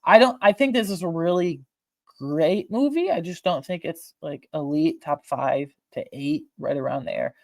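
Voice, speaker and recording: A man's voice: on the high side, no echo. Casual, emphatic man in a dry room. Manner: informal, halting, emphatic fan talk with slang. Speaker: video host ranking films. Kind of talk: casual opinionated film ranking commentary.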